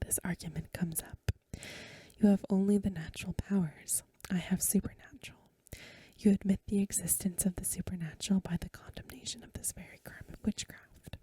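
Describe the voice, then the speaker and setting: A woman reading aloud in a hushed, measured, breathy whisper, in the soft-spoken style of a bedtime ASMR recording. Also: mid-range voice, fairly even intonation, no room sound.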